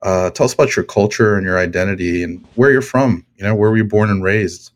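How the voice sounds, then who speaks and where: Low-voiced male interview host. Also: brisk. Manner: encouraging, frequent backchannel "mm-hmm". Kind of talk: interview questions.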